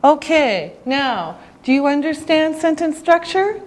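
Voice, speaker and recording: A woman teaching an English class, speaking slowly and deliberately with clear enunciation. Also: high voice, sing-song. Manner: slow and deliberate, drawing out words.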